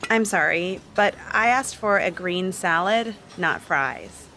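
A woman reading a scripted coursebook dialogue as a magazine colleague, clear and polite. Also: lowish voice, animated, slow, sharp tone, a little hiss or hum, exaggerated voice. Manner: clear, polite and matter-of-fact.

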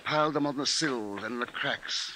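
An actor playing a grave, resolute middle-aged father who narrates in a measured, dramatic way in a 1950s radio drama. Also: medium pitch, sharp tone, lightly acted. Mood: grave, brooding. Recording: noisy 1950s radio broadcast recording.

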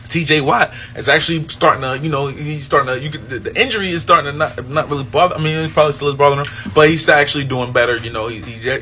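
A young man giving a casual, animated post-game review as a sports fan, rambling with frequent restarts. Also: on the high side, a little hiss or hum.

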